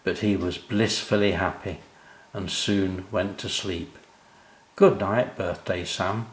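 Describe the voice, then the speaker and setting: An older man narrating a children's story, unhurried and expressive, speaking directly to young listeners. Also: deep voice, roomy sound.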